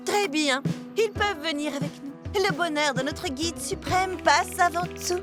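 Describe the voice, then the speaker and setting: A devoted rabbit comrade speaks with solemn earnestness in a very high voice. Music plays behind. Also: female voice, a little slow. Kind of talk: cartoon voice acting. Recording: studio voice over music.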